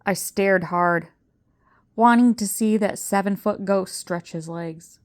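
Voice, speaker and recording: A woman's voice: mid-range voice, takes it slowly. An older woman reading a story aloud in a suspenseful, dramatic storytelling manner. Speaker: storybook reader. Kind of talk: read-aloud story narration.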